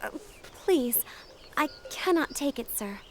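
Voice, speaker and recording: Woman playing a high-voiced, kind peasant girl. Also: lively intonation, slow, slight background noise. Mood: shy, polite. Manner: gentle, polite, deferential. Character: kind, shy young peasant goat girl. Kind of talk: audio drama acting. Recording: music under dialogue.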